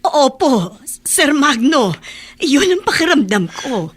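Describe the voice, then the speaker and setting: Lively, fussy older Filipina housemaid. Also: female voice, sits high, slow pace, exaggerated voice. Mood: anxious, deferential. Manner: folksy, fretful, exclaiming. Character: fussy, deferential older female household helper. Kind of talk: Filipino radio drama acting.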